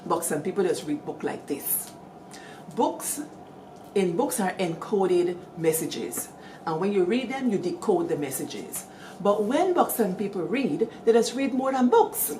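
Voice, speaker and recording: A middle-aged Guyanese woman hosting, telling village stories in a lively, animated way and calling out to her listeners. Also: mid-range voice, a little slow, slight background noise, poor audio. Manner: lively, animated storytelling with call-outs to listeners. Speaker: storyteller host. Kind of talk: storytelling talk.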